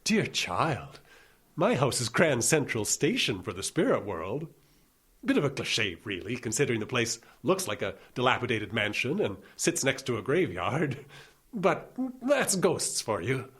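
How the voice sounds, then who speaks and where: A man's voice: mid-range voice, sing-song, measured pace, a bit bright, slightly rough, exaggerated voice. A man playing a genial, eccentric older doctor in an audio comedy drama, courtly, effusive and whimsical. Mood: whimsical, serene. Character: genial eccentric old doctor who takes dictation from ghosts.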